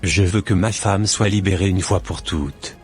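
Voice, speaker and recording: Man as a deep-voiced, brooding wronged husband. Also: steady tone, normal speed, soft background music, natural voice. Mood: serious. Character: betrayed, wounded but loving husband, stern and brooding.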